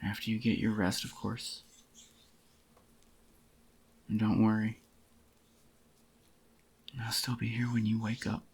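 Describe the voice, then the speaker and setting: A man playing a doting, possessive yandere boyfriend in a soft-spoken ASMR roleplay, with a tender, intimate murmur and a husky, slightly groggy voice. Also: fairly low pitch, flat delivery, takes it slowly, pauses often, full character voice. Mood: soothing.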